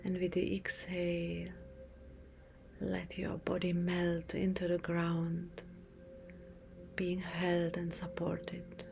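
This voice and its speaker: Hushed, slow woman guiding relaxation. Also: lowish voice, completely flat delivery. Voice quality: soft, breathy half-whisper. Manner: slow, hushed, soothing, with long pauses. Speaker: yoga nidra teacher. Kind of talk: guided relaxation meditation. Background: music.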